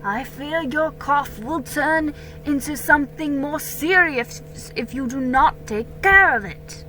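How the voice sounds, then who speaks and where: Woman as an earnest, forceful colonial apothecary man. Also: sits high, takes it slowly, a little hiss or hum, pitched above the performer's own voice, heavily acted, low-quality recording. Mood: concerned, stern. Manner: formal, admonishing. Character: concerned male colonial apothecary, earnest and formal.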